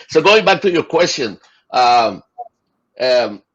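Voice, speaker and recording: A man's voice: medium pitch, big pitch swings, drawn-out words, a bit bright, slightly rough. A middle-aged man from Chile and Rico, talking enthusiastically as an interview guest with many fillers and restarts. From Chile and Puerto Rico. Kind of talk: casual interview. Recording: dull lo-fi audio.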